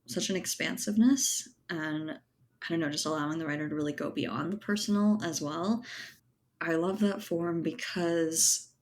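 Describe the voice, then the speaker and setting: A woman speaking as a writer being interviewed, reflective and conversational, often checking in with "right" and "you know". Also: low voice. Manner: thoughtful, hedging, often ends phrases with right or you know. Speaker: podcast guest, writer and editor.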